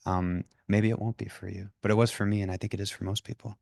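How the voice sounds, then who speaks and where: A man's voice: lowish voice, little pitch movement, fast talker, vocal fry, darkish tone, no room sound, clean studio sound. A man on a poetry reading panel, talking loosely and chattily with lots of "like"s before an audience. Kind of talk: casual panel talk.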